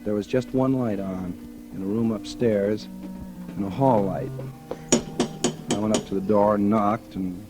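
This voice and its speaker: A man's voice: fairly low pitch, steady tone, unhurried, pauses often, over music, close to an everyday voice. An actor playing a cynical, scheming male writer in a 1940s radio drama, talking in a colloquial, calculating way. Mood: wry, calculating. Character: smooth scheming male writer, cynical opportunist courting a dying heiress. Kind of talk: 1940s radio drama acting, first-person noir narration. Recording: noisy vintage radio recording.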